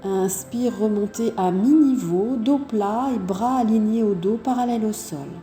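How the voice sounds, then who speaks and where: A woman teaching yoga, guiding breath and posture step by step in a calm, measured way with pauses. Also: mid-range voice, reverberant, faint music behind. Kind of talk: guided yoga and breathing instruction.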